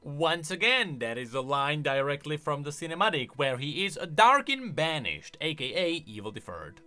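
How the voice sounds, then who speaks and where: A man's voice: a little high, sing-song, normal speed, bright, forceful tone, faint music behind. A man hosting a video, giving casual, enthusiastic commentary on game lore and explaining as he goes. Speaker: video host.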